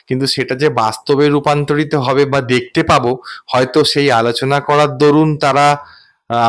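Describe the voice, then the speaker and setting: Male vlogger, mid-pitched. Earnest, long-winded explanatory storytelling addressed to viewers. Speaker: vlogger and host. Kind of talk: recounting a personal ghost experience to viewers.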